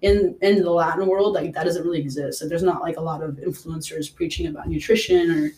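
Upbeat young man, steady high-ish voice. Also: fairly quick, poor audio. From Mexico. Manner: rambling, filler-heavy, says "like" a lot. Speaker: personal trainer and guest. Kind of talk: casual podcast interview.

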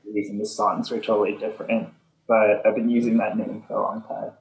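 A young man from Canada, a band member answering interview questions in soft, halting casual talk full of fillers. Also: lowish voice, little pitch movement, creaky. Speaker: band leader, interview guest. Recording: echoey room.

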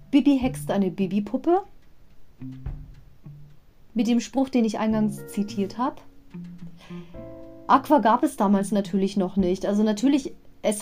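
A woman's voice: fairly high pitch, warm tone. A woman hosting a podcast, casually retelling a story with chatty, digressive commentary. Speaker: podcast host.

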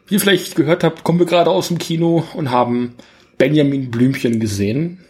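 A man's voice: on the high side, a little fast, a little mellow. A man hosting a podcast, chatting casually about films in a rambling, jokey, self-correcting way. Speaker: podcast host. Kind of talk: casual film review chat.

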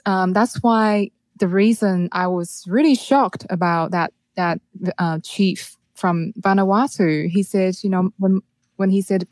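A woman from Taiwan with a Taiwanese accent, speaking as an interview guest in a halting way, often repeating words as she searches for phrasing. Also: medium pitch, a little mellow, dry recording. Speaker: music producer, interview guest. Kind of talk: conversational interview, telling personal experience.

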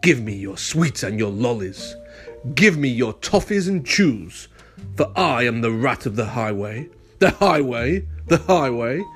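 A man voicing a greedy, boastful rat highwayman from a picture book who bellows his demands. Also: sing-song, measured pace, faint music behind. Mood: boastful bellowing.

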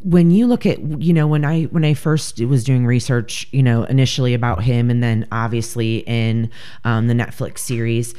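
Deep-voiced woman, blunt and profane. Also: fairly even intonation, normal speed, dark tone, clean tone. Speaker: podcast co-host. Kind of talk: casual true-crime chat.

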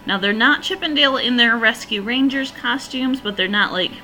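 Woman podcaster, bit hoarse and raspy, bright forward voice, rambly and excited, faint music underneath. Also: a little high, normal speed, poor audio. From northern region. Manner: chatty, enthusiastic, rambling with fillers. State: slightly hoarse from allergies. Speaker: podcast host. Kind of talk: casual solo podcast review.

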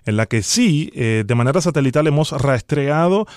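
A man on a prank phone call playing a stern, bureaucratic immigration official, speaking formally and gravely. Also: fairly high pitch, expressive, moderate pace, darkish tone, no echo, clean studio sound, close to an everyday voice. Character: stern male immigration official reading a deportation order. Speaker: prank caller.

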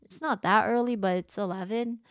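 A woman's voice: medium pitch, steady tone, brisk, no echo. Teen girl doing a chill solo podcast, bubbly, says like a lot, kinda tired voice, dry close mic. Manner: bubbly, upbeat, lots of "like" fillers. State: a bit tired, voice lower than usual. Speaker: podcast host. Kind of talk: casual solo podcast chat.

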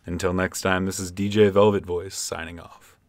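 A man's voice: low voice, level tone, normal speed, natural voice. A man playing a mock-sincere late-night love-show DJ, delivering parody radio announcements. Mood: mock-romantic. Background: plucked guitar music.

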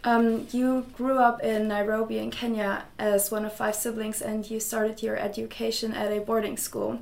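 A woman's voice: fairly high pitch, level tone, moderate pace, gravelly, darkish tone. A seventeen-year-old girl from Germany who interviews her guest with hesitant, filler-heavy questions. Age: teens. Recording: echoey room.

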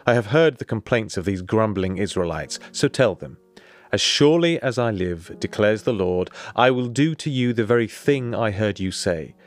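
A man giving a scripted, solemn and measured reading from the Bible. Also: fairly low pitch, expressive, soft background music. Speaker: scripture reader.

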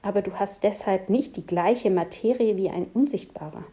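A woman reading a hushed, protective young shapeshifter. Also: medium pitch, normal speed, close to an everyday voice. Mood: urgent. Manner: urgent, hushed.

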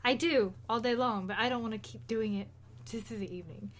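A woman's voice: fairly high pitch, a little fast, slight background noise. A woman co-hosting a podcast, chatting casually and retelling stories in an informal, rambling, conversational way. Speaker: podcast co-host.

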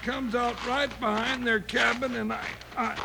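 A loud high-voiced cowboy sidekick. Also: male voice, flat delivery, a little slow, gravelly, full character voice. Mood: hearty. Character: big gravelly-voiced adult male deputy sidekick, boisterous. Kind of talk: 1950s radio drama acting. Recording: old radio transcription with loud hiss.

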